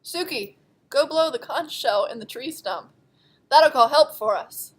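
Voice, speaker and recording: A woman's voice: sits high, lively intonation, a little slow. A woman playing plucky, defiant colonial women in an amateur comic read-aloud.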